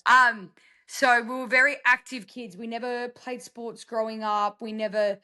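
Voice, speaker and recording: A woman's voice: fairly high pitch, level tone, measured pace, pushed and bright. A young Australian woman from rural South Australia, chatting casually as a podcast guest in a candid, self-deprecating way. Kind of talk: casual personal interview chat.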